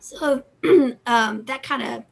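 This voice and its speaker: A middle-aged woman hosts a casual interview over a video call from a room at home. Her voice sits fairly high with a noticeable fry. She speaks slowly and pauses hesitantly as she puts each question together. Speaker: interviewer, library host.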